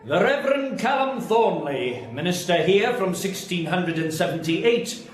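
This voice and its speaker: An ominous old-world preacher's ghost played by a man in a radio drama, declaiming solemnly in a fairly high male voice. The sound is very echoey, with a little noise. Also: very animated, unhurried. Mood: grim, solemn. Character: ghostly pious seventeenth-century minister, grim and ominous. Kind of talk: audio drama acting. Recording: echoing space, faint noise.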